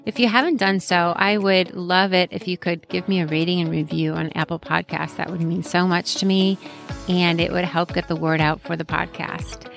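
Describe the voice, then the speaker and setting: A woman's voice: lowish voice, steady tone, normal speed, creaky, faint music behind. A woman hosting a casual solo podcast, chatty and encouraging, her voice strained as she says she is losing it. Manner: chatty and encouraging, friendly asides. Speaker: podcast host.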